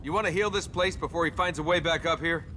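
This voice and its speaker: A man's voice: fairly high pitch, quick pace, bright, forceful tone, a little hiss or hum. An actor playing a cocky, wisecracking young male adventurer in a video game, glib and sarcastic in his banter. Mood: cheeky, loud. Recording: constant game ambience behind the voice.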